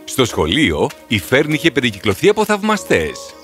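A man's voice: mid-range voice, huge pitch swings, over music. A man reading scripted fairy tale narration for children.

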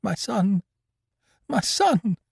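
Frantic mother voiced by a man, panicked, gasping, very high, dry close sound. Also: very animated, extremely slow, gravelly, breathy edge, dry recording, exaggerated voice. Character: frantic terrified mother, woman.

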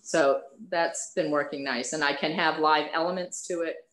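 A woman artist chats over a video call, animated and lively. Her lowish voice carries an echoey room sound. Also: normal speed. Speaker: painter and art teacher. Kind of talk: answering questions on a video call.

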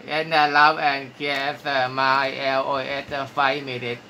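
A man with a Thai accent speaking halting, courteous English as an amateur radio operator, giving call signs and sign-offs. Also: medium pitch, level tone, pushed and bright, muffled recording, slight background noise. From Thailand. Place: small room at a radio desk, indoors.

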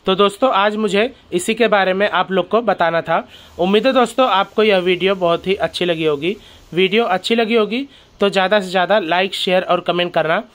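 Host, male, high and smooth voice, explaining a factory tour step by step. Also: fairly quick, a bit bright. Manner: explains step by step, keeps addressing viewers as friends. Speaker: channel host. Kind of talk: factory tour vlog narration.

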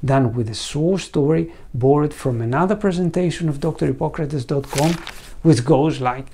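A man's voice: a little high, normal speed, mellow, a little hiss or hum. A man in his forties with a Greek accent, a veterinarian presenting a scripted lecture in an earnest storytelling manner and putting questions to the viewer, on a lapel mic in a studio. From Greece. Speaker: veterinarian and site founder. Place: studio set against a green screen.

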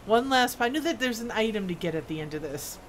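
A woman's voice: a little high, lively intonation, quick pace. A woman game streamer chatting casually and rambling to herself as she plays, sometimes reading quest text aloud. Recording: clear background game noise. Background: video game sounds.

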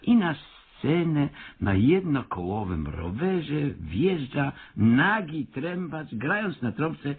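A cocksure small-town schemer in a radio comedy, lecturing like a great avant-garde expert. His slightly husky voice swings widely in pitch, condescending throughout. The sound is somewhat muffled. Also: very animated, takes it slowly, few pauses, heavily acted. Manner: condescending, lecturing, mock-erudite. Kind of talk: Polish radio play comedy acting.

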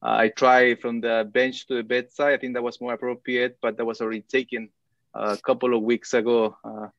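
A man in his thirties from Chile, giving an academic lecture with frequent hesitant filler pauses. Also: mid-range voice, level tone, gravelly, a bit bright, dry recording, lo-fi sound. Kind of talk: academic presentation over video call. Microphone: video call.

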